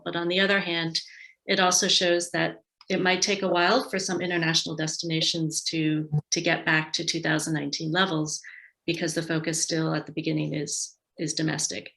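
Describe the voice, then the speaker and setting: A woman's voice: lowish voice, creaky, fairly bright tone, poor audio. An American woman giving a friendly, conversational online presentation over a video call, with frequent ums and small restarts. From United States. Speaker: tourism marketing advisor presenting a webinar. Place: indoors at home base in a city.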